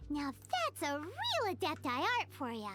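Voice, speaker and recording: A small, excitable girl companion from a video game, with a very high and lively voice. She chatters about herself in the third person. Game music plays steadily behind her. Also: normal speed. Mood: chirpy. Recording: game audio under background music.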